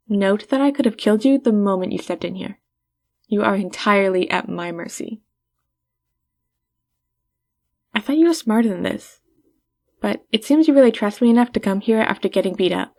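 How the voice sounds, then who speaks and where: A woman acts out a haughty villainess with a mid-pitched voice. She speaks intimately to the listener, teasing and talking down, with a secretly caring streak. It is an ASMR roleplay performance. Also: a little mellow.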